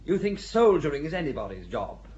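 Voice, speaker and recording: A man's voice: a little high, big pitch swings, fairly quick, darkish tone, muffled recording. A man playing a blustering, wavering squire. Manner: blustering, hesitant, exasperated. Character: blustering, self-doubting squire commanding a castle. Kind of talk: radio drama acting.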